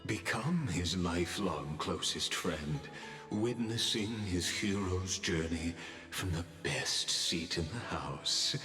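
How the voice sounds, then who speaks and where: Sly theatrical game narrator; low, unhurried delivery, knowing tone. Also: male voice. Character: sly, grandly theatrical male storyteller guiding a listener through memories. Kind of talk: scripted dramatic game narration. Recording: echoey hall reverb, music behind.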